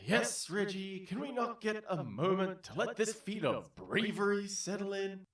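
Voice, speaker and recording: Casting: male actor as showy, cruelly cheery game show host, high voice, echoing room. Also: big pitch swings, far from the mic. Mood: flustered, irritated. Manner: theatrical, drawn-out announcer delivery. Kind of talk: game show parody audio drama acting. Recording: roomy echo, off the mic.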